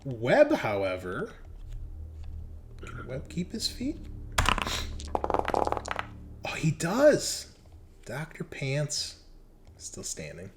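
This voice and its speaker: A man's voice: medium pitch, huge pitch swings, extremely slow, long pauses, noisy background. A man narrating a tabletop horror game as game master in a casual, conversational way.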